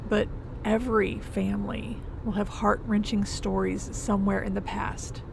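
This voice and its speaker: An actress playing a composed, knowledgeable professor in an audio drama, with a creaky voice and precise, formal delivery. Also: female voice, medium pitch, level tone, a little slow, background noise. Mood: solemn, composed. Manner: precise, formal, self-possessed. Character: composed, knowledgeable female professor, older than her companion.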